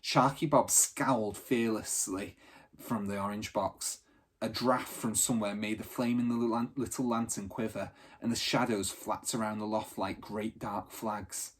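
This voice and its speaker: A man narrating a children's storybook aloud in an expressive, teacherly reading style. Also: fairly low pitch, measured pace, slightly rough.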